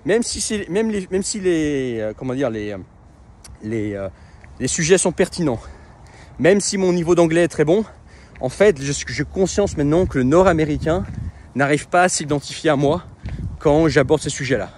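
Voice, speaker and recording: A man speaks casually to the camera in a slightly higher voice, pausing often on fillers and restarting his sentences. He is outside, with faint street and traffic noise behind him. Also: lively intonation, darkish tone. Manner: conversational, many fillers and restarts. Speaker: web entrepreneur and coach. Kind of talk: casual vlog monologue.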